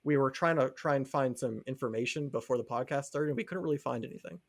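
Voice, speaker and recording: A man's voice: medium pitch, fast talker. A man co-hosting a podcast, talking casually and explaining his opinions on video games. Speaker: podcast co-host.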